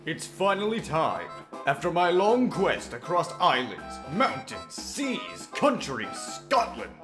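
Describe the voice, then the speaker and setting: A man playing an earnest questing knight who tells his own fantasy tale in a dramatic manner, with a wry streak. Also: on the high side. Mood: solemn, determined. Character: earnest questing young male knight, wry and easily startled. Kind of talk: first-person dramatic fantasy narration. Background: music.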